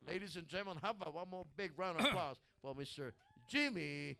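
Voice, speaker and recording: A man hosting a live stream, joking with a guest in halting speech full of restarts. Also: high voice, lively intonation, gravelly, pushed and bright, no echo. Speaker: host.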